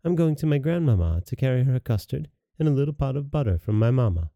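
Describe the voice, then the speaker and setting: A man's voice: mid-range voice, sing-song, fast talker, dark tone, dry recording, clean studio sound, pitched above the performer's own voice. A man voicing an innocent, trusting little country girl in a storybook, artless and full of wonder.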